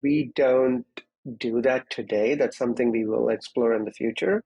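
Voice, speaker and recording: A man's voice: mid-range voice, fairly even intonation, moderate pace, darkish tone, slightly rough, poor audio. A man speaking as an interview guest, explaining technical matters conversationally in structured, step-by-step points. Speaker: interview guest, security executive.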